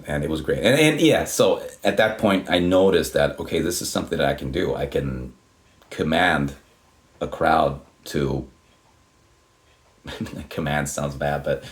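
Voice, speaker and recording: Super deep voiced guy in his 30s, rambly chatty storyteller, lots of restarts. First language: Swedish. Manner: rambling, many restarts and swearing. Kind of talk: casual storytelling.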